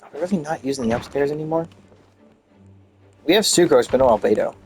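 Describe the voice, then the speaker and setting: A man's voice: fairly high pitch, lively intonation, quick pace, faint music behind. A man streaming a game, giving casual commentary in a rambling, hesitant way.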